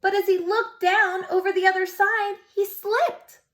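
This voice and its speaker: A woman preschool teacher reading a picture book aloud to young children, expressive and pausing to ask them questions. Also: extremely high pitch.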